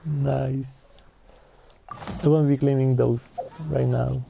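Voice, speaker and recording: A man giving casual, halting gameplay commentary and reading on-screen text aloud. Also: mid-range voice, level tone, creaky, mellow, a little hiss or hum, low-quality recording. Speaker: video game streamer.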